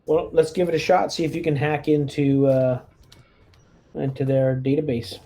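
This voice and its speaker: A man's voice: fairly high pitch, flat delivery, normal speed, mellow, a little hiss or hum. A man talking casually and a little tentatively as a player in a tabletop game.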